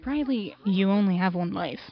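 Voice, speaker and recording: A woman's voice: mid-range voice, normal speed, close to an everyday voice. A young woman playing a blunt teenage girl who lectures her friend, in an audio drama. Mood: lecturing. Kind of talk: amateur audio drama acting. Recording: muffled lo-fi recording.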